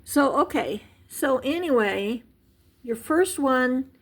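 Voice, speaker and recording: A woman with a slightly high, gravelly voice teaching a relaxed language lesson to a camera. She talks in a chatty, wandering way, with frequent ums and restarts. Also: slow pace. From United States. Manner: chatty, rambling, many fillers and restarts. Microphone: handheld device camera.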